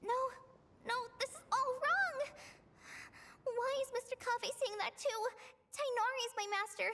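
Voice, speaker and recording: A woman's voice: extremely high pitch, a bit bright, long reverb tail, a little hiss or hum, heavily acted. A woman voicing a confused, earnest young female forest ranger trainee in a video game, hesitant and anxious. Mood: distressed.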